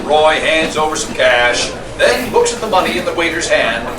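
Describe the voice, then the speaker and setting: A man's voice: on the high side, lively intonation, normal speed. A man reading stage directions as narrator at a live screenplay table read. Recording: distant mic in noisy, echoey room.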